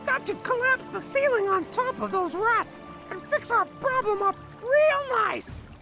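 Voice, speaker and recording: A woman voicing a small, eager goblin demolition worker in a fantasy game, peppy and excitable. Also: very high voice, measured pace, over music, full character voice. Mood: excited, eager.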